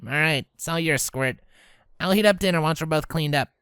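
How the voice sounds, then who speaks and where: A woman's voice: sits low, moderate pace, fairly bright tone, clear, resonant tone, no echo, studio quality. A woman voicing a brash but caring young female pegasus pony in a fan fiction audio drama, with casual, slangy delivery. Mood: casual, tired. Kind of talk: fan fiction character acting.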